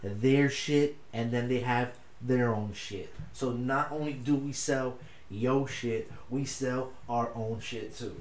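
A young man whose first language is Spanish, co-hosting a casual podcast chat in an animated, slangy way with lots of swearing. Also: medium pitch, takes it slowly. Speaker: podcast co-host. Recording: echoey room with faint noise.

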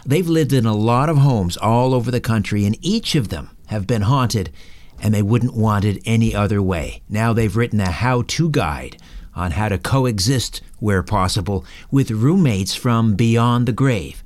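A man's voice: lowish voice, measured pace, darkish tone. A man hosting a talk podcast, delivering scripted narration and sponsor reads. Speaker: podcast and radio host.

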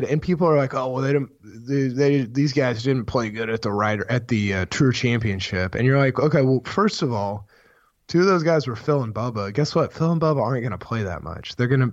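A hoarse man who is losing his voice, co-hosting a sports podcast in casual, rambling chat full of restarts. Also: medium pitch, no echo. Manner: rambling, many restarts, excited. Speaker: podcast co-host, golf writer. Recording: dull, dry podcast mic sound.

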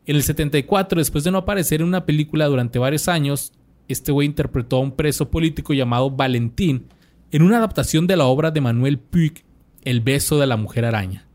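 Mexican man, slangy and higher-voiced. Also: fast, a little mellow. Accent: Mexican Spanish. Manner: laid-back, slangy, reads from notes with restarts. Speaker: podcast host. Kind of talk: casual podcast chat, reading a bio.

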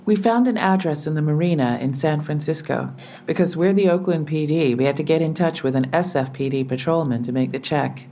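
An actress playing a businesslike police officer who presses with matter-of-fact questions in an audio drama. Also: female voice, low-pitched, brisk, warm tone, slight background noise. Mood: probing, businesslike.

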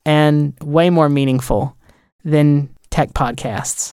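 A man's voice: fairly high pitch, steady tone, slow pace, darkish tone, clean tone, no room sound, studio quality. A man in casual podcast conversation, talking emphatically and with firm opinions as a producer. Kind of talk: casual chat.

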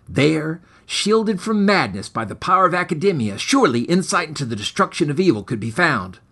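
A man's voice: on the high side, a bit bright, breathy edge. A man reading his own comic horror story in a mock-serious, formal and theatrical way. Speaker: author reading his own short story, podcast host. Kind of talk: scripted dramatic narration of a comic horror story.

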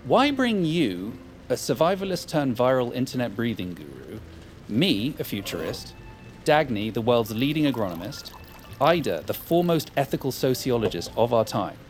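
An actor playing a dry, dismissive futurist in an audio drama. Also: male voice, medium pitch, big pitch swings, moderate pace, natural voice. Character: smug rival tech futurist man.

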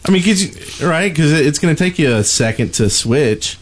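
A man's voice: fairly high pitch, animated, normal speed. A man chatting casually as a co-host on a gaming podcast.

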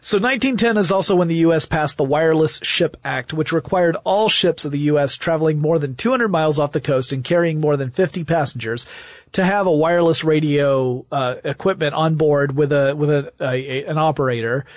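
A man's voice: on the high side, fairly quick, no echo. A man talking as a podcast host, explaining step by step in a casual, enthusiastic storyteller's way.

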